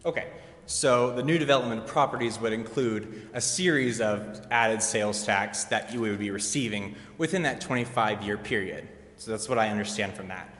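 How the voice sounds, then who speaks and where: Guy with a lowish voice asking step by step questions at a public meeting, big echoey hall. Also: moderate pace, fairly bright tone. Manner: methodical, questioning. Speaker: citizen questioner, trained in law. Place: indoor council chamber.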